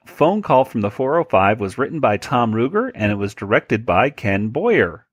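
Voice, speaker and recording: Very low, lively podcast host. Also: female voice, normal speed, breathy edge, no room sound, faint music behind. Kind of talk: casual podcast hosting.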